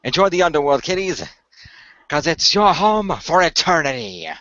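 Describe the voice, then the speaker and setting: A smug god of the underworld, acted by a man for a radio play, holds forth in a high, very animated voice. He is a sarcastic showman who mock-reassures his listeners. The audio is dry and a little muffled. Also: moderate pace, no room sound. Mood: gloating. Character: fast-talking smug male god of the underworld. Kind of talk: hobby radio play acting.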